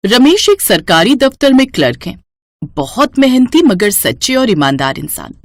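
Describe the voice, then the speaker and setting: Animated, high-pitched woman storyteller. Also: normal speed. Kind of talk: scripted moral-story narration.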